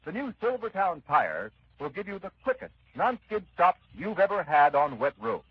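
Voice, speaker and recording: Animated 1930s male radio announcer. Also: high voice, breathy edge. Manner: emphatic, polished sales delivery. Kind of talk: 1930s radio advertisement reading. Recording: muffled 1930s radio recording.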